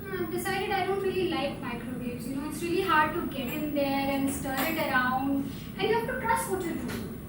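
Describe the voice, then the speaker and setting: Stage play wife, female actor: conversational, gently needling, heavy room echo with noise behind. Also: sits high, animated, a little fast. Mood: mildly exasperated. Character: chatty, gently needling wife of a textbook publisher. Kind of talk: live stage play acting. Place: indoor theatre stage, live performance. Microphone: distant microphone. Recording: distant, very echoey theatre, background noise.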